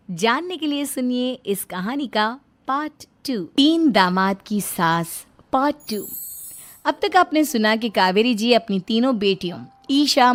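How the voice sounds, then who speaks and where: A woman narrating a scripted moral story as the storyteller. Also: medium pitch.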